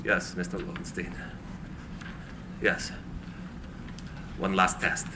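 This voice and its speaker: An actor playing an eccentric, idealistic inventor in a live screenplay reading, theatrical and earnest. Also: male voice, low voice, little pitch movement, takes it slowly, noisy background. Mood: moved, hesitant.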